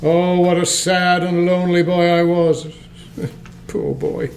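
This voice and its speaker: An actor playing a frightened, querulous old miser in a radio play, hesitant and pleading, often stammering. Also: male voice, sits high, little pitch movement, slow, gravelly, darkish tone, slight background noise, exaggerated voice. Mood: wistful, regretful. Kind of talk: stage-style radio play acting.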